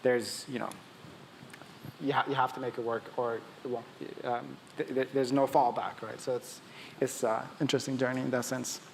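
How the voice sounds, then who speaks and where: A man's voice: mid-range voice, little pitch movement, normal speed, gravelly. A man from Ethiopia speaking as a startup founder on a conference panel, conversational, often restarting his sentences mid-thought. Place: conference stage in a large hall. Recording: echoey hall with long reverb, audible noise.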